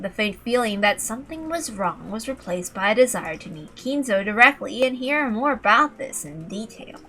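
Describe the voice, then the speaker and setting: A woman's voice: mid-range voice, sharp tone, a little hiss or hum, poor audio. A woman reading scripted narration from a visual novel. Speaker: reader of a visual novel playthrough. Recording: faint music behind the voice. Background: faint music.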